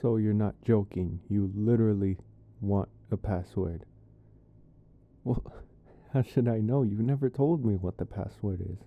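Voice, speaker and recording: Muffled, slow-talking man as vampire boyfriend. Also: fairly low pitch. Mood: pleading, exasperated. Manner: halting, coaxing, wryly playful. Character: young male vampire boyfriend locked out, pleading, sheepish. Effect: muffled, as if behind a door. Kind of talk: ASMR boyfriend roleplay. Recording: muffled narrow-band, as through a door.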